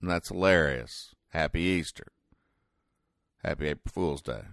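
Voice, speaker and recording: A man hosting a comedy podcast, explaining things in a halting, deadpan mock-serious commentary. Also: extremely low pitch, no room sound, studio quality. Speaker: podcast host. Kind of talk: comic commentary describing newspaper comic strips.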